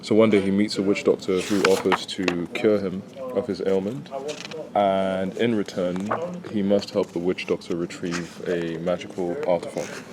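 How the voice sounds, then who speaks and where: A very deep-voiced man explains things in a steady, measured way. A crowd murmurs around him in a busy workspace. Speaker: comics company director. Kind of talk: casual interview answers. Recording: crowd chatter behind.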